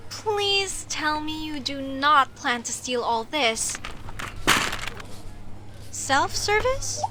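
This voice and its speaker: Woman acting as young female elf mage, high-pitched, slow-paced, expressive, indignant, inquisitive, comedy roleplay, noisy background. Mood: puzzled, questioning. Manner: expressive, questioning, proudly defensive. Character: outspoken, curious young female elvish mage, easily indignant. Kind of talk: comedic audio roleplay, spoken to the listener.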